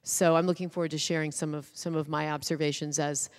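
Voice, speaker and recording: Low, even-voiced woman in a reverberant hall. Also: quick pace. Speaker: panel speaker, co-founder of a veterans' charity. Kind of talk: introductory remarks at a public panel.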